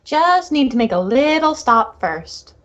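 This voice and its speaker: Scheming cartoon female played by a woman: high, cheeky, bossy, a little lo-fi. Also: very animated, a little slow, fairly bright tone, heavily acted. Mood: brash.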